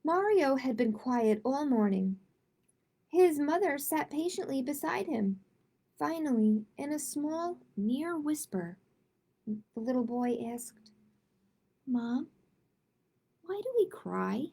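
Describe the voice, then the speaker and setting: A woman's voice: high voice, expressive, slow pace, vocal fry, long pauses, dark tone. A woman hosting a children's storytime, reading picture books aloud and chatting expressively and directly with young listeners. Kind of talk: children's read-aloud with casual chat.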